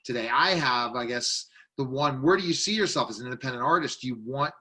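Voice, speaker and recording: A man's voice: medium pitch, quick pace, sharp tone. A man hosting a casual interview chat over a video call. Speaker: interviewer, show host.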